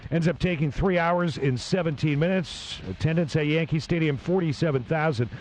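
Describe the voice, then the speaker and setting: A man narrating a baseball game recap, steady and matter-of-fact between broadcast clips. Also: fairly high pitch, moderate pace, a little hiss or hum. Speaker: recap host.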